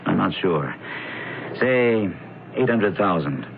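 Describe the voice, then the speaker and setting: A man's voice: low-pitched, very animated, slow pace, gravelly, full character voice. An actor playing a proud, defensive businessman whose father has died, in a radio drama. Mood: guarded. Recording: muffled narrow-band old radio broadcast, faint hiss.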